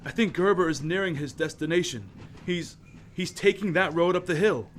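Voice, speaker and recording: A man's voice: sits high, moderate pace, slight background noise, lightly acted. A man playing an urgent, commanding hero. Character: determined male crime-fighting hero. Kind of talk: amateur radio play acting.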